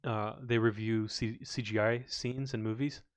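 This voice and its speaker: A man's voice: on the low side, fairly even intonation, a little slow, clear, resonant tone, no room sound. A man from Hungary co-hosting a podcast in casual chat, hesitant and often restarting his sentences. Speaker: podcast co-host.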